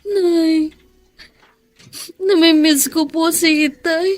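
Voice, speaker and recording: An actress playing a grieving, bitter young daughter in a melodramatic radio drama, tearful and anguished. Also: female voice, very high-pitched, steady tone, drawn-out words, no echo, full character voice. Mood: tearful longing.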